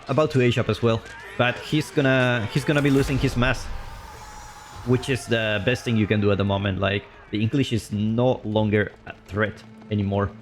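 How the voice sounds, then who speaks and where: A man streaming live commentary on a strategy game match. He is chatty and loose, and his voice leaps up on big plays before settling back to calm explaining. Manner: excitable, voice jumps high on big plays, then calm explaining; loose and chatty, with fillers and restarts.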